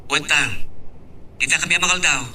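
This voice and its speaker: A man's voice: a little high, brisk, pushed and bright, distant mic. A man playing a respectful, affectionate young son in a radio drama, heard over a phone call.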